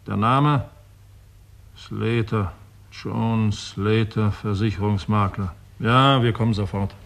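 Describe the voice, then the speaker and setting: An actor playing a dutiful, efficient police sergeant in a 1960s radio crime drama, clipped and businesslike. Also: male voice, lowish voice, fairly even intonation, takes it slowly, gravelly, slight background noise.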